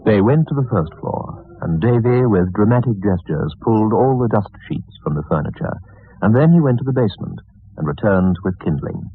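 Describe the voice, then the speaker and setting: An actor as the grave storyteller of a radio mystery, narrating in a measured, literary and dramatic manner. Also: male voice, lowish voice, animated, husky. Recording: muffled, dull vintage radio recording.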